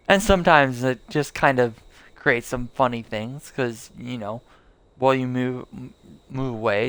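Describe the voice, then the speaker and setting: A man's voice: fairly low pitch, creaky. A young man streaming a game live, rambling casually with lots of fillers and restarts. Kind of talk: casual live gameplay commentary.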